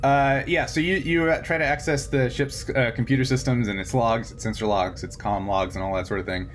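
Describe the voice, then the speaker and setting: A man working as game master, narrating a tabletop game in a halting, conversational way with frequent chuckles. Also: medium pitch, steady tone, soft background music.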